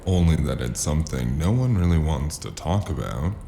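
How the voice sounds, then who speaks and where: An actor playing a weary, sardonic noir investigator with a gravelly voice and a dry, deadpan, clipped delivery. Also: male voice, extremely low pitch, normal speed, a little hiss or hum, exaggerated voice. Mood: dry, guarded. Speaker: lead actor and narrator. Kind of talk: noir audio drama acting and narration.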